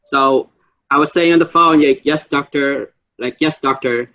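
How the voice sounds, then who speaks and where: A man from the Philippines working as a nurse, telling stories with lively quoted voices. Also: a little high, a little slow, highs cut off. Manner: storytelling, animated quotes.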